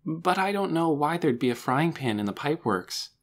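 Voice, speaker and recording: A woman voicing an excitable, insistent and earnest young girl in a children's audiobook, eager and emphatic. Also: low voice, pitched above the performer's own voice. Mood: earnest, hopeful. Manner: eager, emphatic, words rushing out. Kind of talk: children's audiobook character dialogue.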